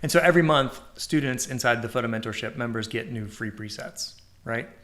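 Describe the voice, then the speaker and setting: A man's voice: mid-range voice, fairly quick, a bit bright. Casual upbeat male co-host, promo-friendly patter. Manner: upbeat, promotional, says y'all. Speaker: podcast co-host. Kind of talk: casual live-stream chat.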